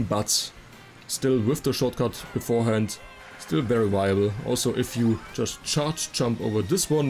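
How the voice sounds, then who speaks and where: A man's voice: medium pitch, a little mellow. Man explaining gameplay over music. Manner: casual, detailed explaining. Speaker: video game guide creator. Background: game music.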